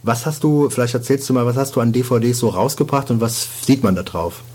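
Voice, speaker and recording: A man's voice: medium pitch, fairly quick, a little mellow, husky. A man hosting a podcast interview, asking questions with many ums and restarts. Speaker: podcast host. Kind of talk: casual interview talk.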